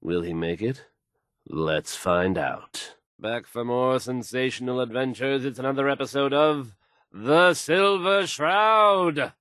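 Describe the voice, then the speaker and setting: An actor playing a smooth, self-assured male radio DJ and announcer in a video game. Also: medium pitch, slow, fairly bright tone, no room sound, clean studio sound. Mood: showy, dramatic.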